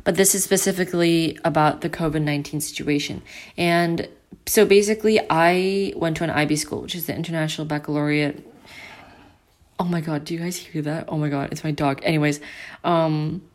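Deep-voiced rambling teen girl. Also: fairly even intonation, brisk. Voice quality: deep, loud voice. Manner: rambling, filler-heavy, self-interrupting. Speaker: podcast host. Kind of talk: casual solo podcast. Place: at home with family.

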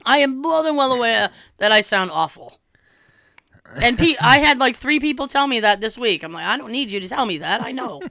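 A young woman, hoarse from a cold, telling a story in casual chat and acting out quoted dialogue with a swear now and then. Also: on the high side, expressive, brisk, bright, forceful tone, no echo.

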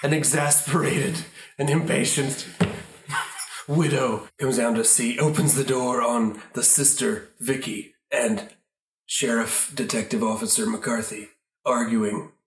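Guy narrating an improv radio play, halting, mid pitch, roomy and a bit off mic. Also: fairly even intonation. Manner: halting, making it up as he goes. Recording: roomy echo, distant from mic.

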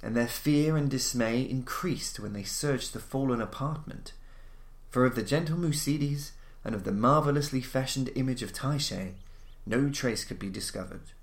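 A man's voice: medium pitch, moderate pace. Echoey man reading a literary tale. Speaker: audiobook-style narrator of classic horror stories. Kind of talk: literary story reading, scripted narration. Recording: roomy, echoing voice recording.

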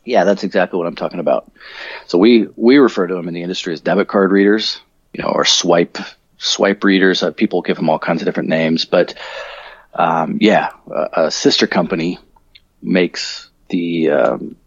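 A deep-voiced, husky middle-aged American man talking through a dull, muffled line with a dry sound. He rambles easily, restarts his sentences and fills gaps with ums, like a guest chatting on a podcast. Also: normal speed, no echo. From Colorado, USA. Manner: relaxed, rambling, self-correcting with frequent ums. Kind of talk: conversational podcast interview.

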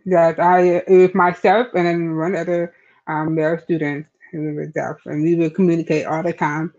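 A woman guest answering in a halting way and repeating her words. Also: on the low side, flat delivery, darkish tone. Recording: dull lo-fi audio.

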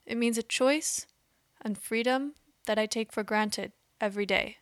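A woman hosting a podcast, giving measured, explanatory scripted narration. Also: on the high side, dry recording, clean studio sound. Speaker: podcast host.

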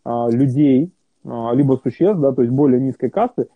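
A man's voice: mid-range voice, normal speed, breathy edge, no room sound. A man being interviewed, talking in a casual, hesitant way with many fillers and restarts. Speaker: interview guest. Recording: tinny phone-like line.

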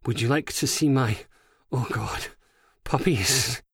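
Man acting a shifty, nervy older creep, raised pitch. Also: slow pace, vocal fry, a bit bright, husky, no echo, studio quality, full character voice. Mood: wheedling, creepy. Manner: wheedling, turning to panicky protest. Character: shifty older male creep with grey hair, nervous and wheedling.

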